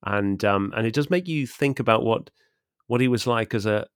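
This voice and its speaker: Man, podcast host, fairly low voice, wry, discursive, conversational literary talk, dry recording. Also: moderate pace, smooth, no echo.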